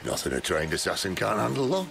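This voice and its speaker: An actor playing a wry, roguish middle-aged outlaw leader in a video game, with a gravelly voice and dry, sardonic banter. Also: male voice, deep voice, huge pitch swings, fast, exaggerated voice. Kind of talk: video game cutscene acting.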